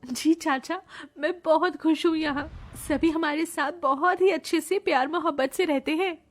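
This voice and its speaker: A woman's voice: sits high, steady tone, normal speed, faint music behind, close to an everyday voice. A woman voicing an emotional, devoted woman in a melodramatic cartoon family tale, with an earnest, plaintive delivery. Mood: affectionate.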